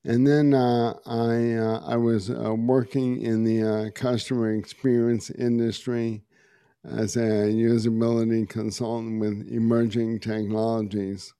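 Middle-aged man, hoarse and raspy, low mellow tone, halting delivery, even and flat. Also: no echo, studio quality. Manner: slow and halting, many uh and um. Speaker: podcast guest, meditation teacher. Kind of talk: personal story in conversation.

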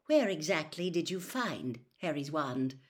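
A woman's voice: medium pitch, very animated, unhurried, voice pushed up. A woman voicing a reasonable, kindly male wizard who argues firmly as a peacemaker in an audiobook story.

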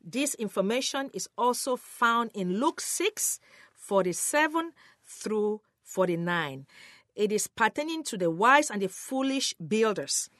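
A woman's voice: mid-range voice, sing-song, a little slow, fairly bright tone, no room sound, clean studio sound. A woman teaching the Bible in a sermon style, emphatic and earnest, speaking directly to her listeners. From Cameroon, West Africa.

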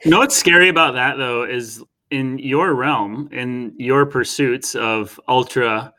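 A man's voice: medium pitch, measured pace, pushed and bright, poor audio. A man co-hosting a podcast, asking questions in a joking, teasing way. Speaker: podcast co-host. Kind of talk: casual podcast interview.

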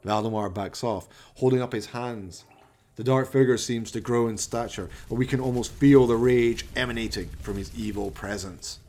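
A man's voice: medium pitch, fairly even intonation, moderate pace, a little mellow. A man narrating a radio play as dramatic scripted storytelling.

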